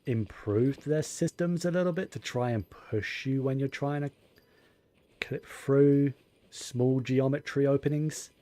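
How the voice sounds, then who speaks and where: A man's voice: medium pitch, a little slow, warm tone. A man giving casual live gameplay commentary, muttering and thinking aloud as he goes. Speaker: game streamer. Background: faint game music.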